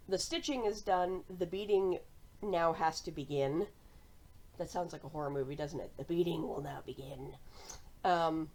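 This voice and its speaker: An American woman chatting casually and cheerfully to viewers as the host of a hobby vlog. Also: fairly low pitch. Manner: conversational, cheerful. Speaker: cross-stitch vlogger and host.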